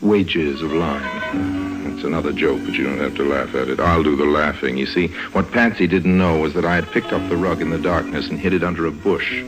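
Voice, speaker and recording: Deep-voiced, sardonic radio rogue. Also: male voice, steady tone, over music, rough recording. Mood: smug, amused. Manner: sardonic, unhurried, drily joking. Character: suave, wry adult male rogue narrator, coolly amused con man. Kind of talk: 1950s radio drama acting and narration. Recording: old 1950s radio recording.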